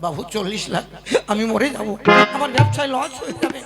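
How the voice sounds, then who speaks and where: A man's voice: extremely high pitch, expressive, a little fast, vocal fry, full character voice. A man voicing an anxious ship trader in a puppet cartoon who begs and haggles with an official. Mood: pleading. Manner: pleading, worried, haggling. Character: anxious male ship trader carrying produce. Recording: voice over loud music.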